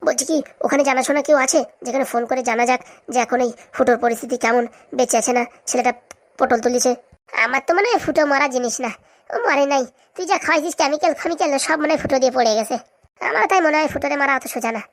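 A woman's voice: sits high, fast, natural voice. A woman voicing a greedy, scheming village woman in a cartoon comedy, chatty and bickering in colloquial speech.

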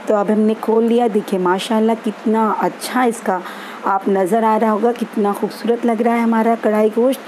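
Mellow-voiced woman walking through a recipe. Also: mid-range voice, slight background noise, rough recording. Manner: easygoing and instructive, repeats steps. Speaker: cooking channel host. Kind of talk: home cooking tutorial voice-over. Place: home kitchen.

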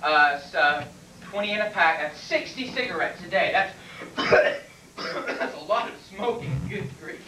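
A man's voice: a little high, expressive, a little slow. A young man playing a nervous, evasive, blustering patient in an amateur comic stage play, stammering and protesting. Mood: flustered, eager. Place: classroom stage before small audience, far camera. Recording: distant, echoey, noisy camcorder in classroom.

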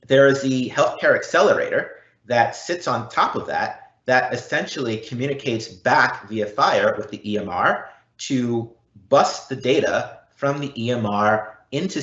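A man's voice: medium pitch, a bit bright. A man presenter giving technical explanations and answering audience questions in an online session. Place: indoor room, live online event. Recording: dull, roomy online call audio.

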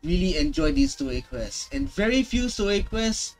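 High-pitched, animated male gaming streamer. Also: normal speed, creaky, faint music behind. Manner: emphatic, repeats words and phrases. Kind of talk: casual reaction commentary.

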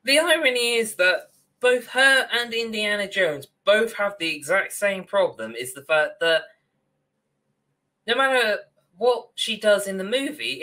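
A man's voice: high-pitched, bright, forceful tone, poor audio. A young man co-hosting a casual, opinionated discussion of films and games from a room on a video call. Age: young adult. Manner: opinionated, ranting. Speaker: podcast co-host. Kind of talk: casual film and game discussion.

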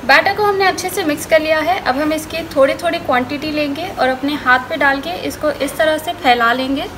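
Woman with a really high voice talking thru a recipe, calm step by step, music playing under her. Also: fairly quick, poor audio. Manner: calm step-by-step instructing, mixing English cooking words into Hindi. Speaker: cooking channel host. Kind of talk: recipe voice-over instruction. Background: background music.

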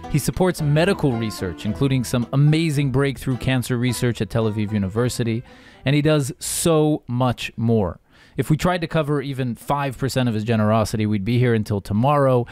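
A man's voice: medium pitch, darkish tone. A man in his thirties hosting a podcast, reading an upbeat, emphatic sponsor spot and introducing a guest. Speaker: podcast host. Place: home studio with bookshelves. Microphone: studio mic on boom arm, headphones. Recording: music under the voice.

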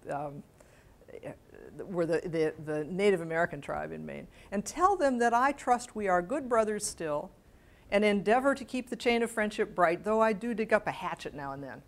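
An older woman giving a public lecture, reading letters aloud in a measured, precise way and adding informal asides. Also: mid-range voice, animated. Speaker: guest lecturer. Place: medium-sized library meeting room with seated audience. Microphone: lapel mic.

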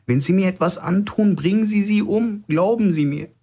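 A man's voice: high voice, normal speed, dark tone, breathy edge, pitched above the performer's own voice. A man voicing a desperate, cornered bank manager in an audiobook thriller, calling out threats and pleas. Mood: desperate, pleading.

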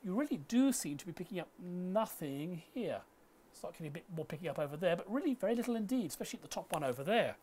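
A man's voice: sits high, animated, quick pace, darkish tone, slight background noise. A middle-aged man lecturing on science in a conversational way, explaining step by step. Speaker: astronomy lecturer. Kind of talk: conversational science lecture in a two-person dialogue.

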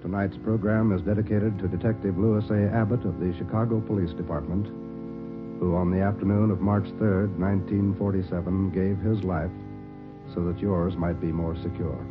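A narrow, tinny old radio recording of a low-voiced American man. He announces solemnly from a script, with music behind him. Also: fairly even intonation, normal speed.

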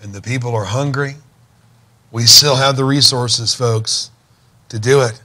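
A middle-aged man hosts a talk show from a studio desk, his voice at a middle pitch. He speaks fervently and stacks phrase on phrase like a preacher. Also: fairly even intonation, a little slow, pushed and bright. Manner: fervent, preacher-like listing and exhortation. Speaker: host. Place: TV studio set.

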